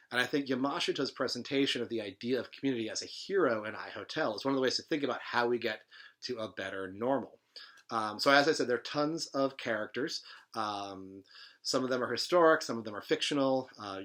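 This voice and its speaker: A man presenting a book informally, speaking in a reflective, halting way as he feels for his phrasing. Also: medium pitch, a bit bright. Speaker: bookstore presenter introducing and reading a novel.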